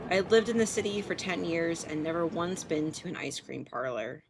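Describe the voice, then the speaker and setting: A woman's voice: fairly low pitch, expressive, a little fast. A woman with an American accent on a live game stream, reading a visual novel aloud and commenting casually, swearing and tripping over words. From Portland, United States. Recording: clearly noisy background.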